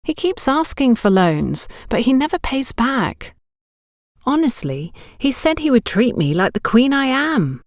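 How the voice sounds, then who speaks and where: A woman's voice: high-pitched, big pitch swings, measured pace, no room sound, clean studio sound, voice pushed up, full character voice. A woman voicing an exasperated young saleswoman in an audiobook, complaining with dramatic indignation about a scrounging boyfriend. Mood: aggrieved, complaining. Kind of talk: audiobook character dialogue.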